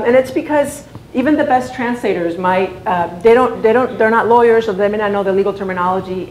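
A woman's voice: medium pitch, a little mellow. Spain-raised woman presenting in an echoey hall. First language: Spanish. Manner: conversational, many fillers and restarts. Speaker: conference presenter. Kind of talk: conference presentation. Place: large conference room. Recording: echoey conference room, faint hum.

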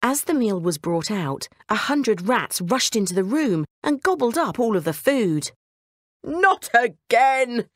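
A woman narrating a scripted children's bedtime story in an expressive storytelling manner. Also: medium pitch, normal speed, hardly any pauses, no room sound, clean studio sound.

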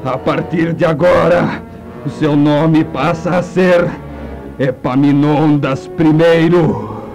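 High-pitched, pompous mad scientist. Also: male voice, fairly even intonation, takes it slowly, a little mellow. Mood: elated. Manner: pompous, declamatory. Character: excitable, grandiose mad male scientist. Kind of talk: comic radio play acting. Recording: 1980s radio play with music.

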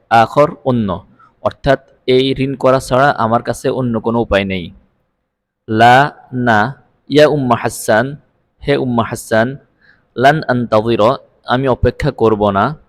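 A male Arabic teacher with a mid-pitched voice. He explains word by word, repeating each phrase before translating it, in a dry recording with little room sound. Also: no echo.

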